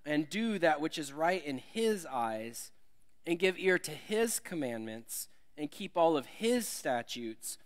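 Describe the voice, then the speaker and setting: Male guest preacher, mid-range voice, self-deprecating and warm in delivery, builds up when reading verses. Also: mid-range voice. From Alabama. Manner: friendly, self-deprecating, rises for emphasis when reading scripture. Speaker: visiting preacher. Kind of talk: sermon. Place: church sanctuary.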